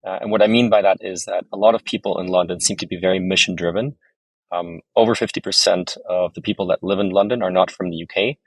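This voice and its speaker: A man in his thirties from Germany, raised abroad, giving measured, articulate answers as a podcast guest. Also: sits low, steady tone, no room sound, poor audio. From Germany, raised abroad in several countries. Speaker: podcast guest, startup founder. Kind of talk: conversational interview answers.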